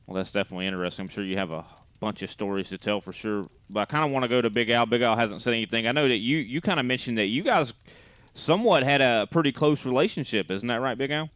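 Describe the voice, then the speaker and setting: A man's voice: medium pitch, lively intonation, fast talker, a bit bright, clean tone, dry recording. A man hosting a podcast, asking rambling questions full of fillers in a casual tone. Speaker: podcast host.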